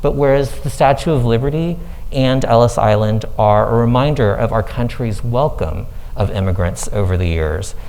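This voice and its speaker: A man's voice: medium pitch, a little mellow, low-quality recording. Earnest man giving a slide talk. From Thailand. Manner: earnest, measured, explanatory. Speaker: foundation executive director. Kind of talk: panel presentation with slides. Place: event room with live audience.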